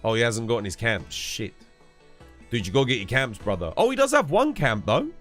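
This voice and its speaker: A man's voice: mid-range voice, sing-song, fairly quick, fairly bright tone, faint music behind. A man, stuffed up with a cold, casually chatting and answering viewers while streaming a game. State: congested, stuffed-up nose. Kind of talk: casual live-stream chat.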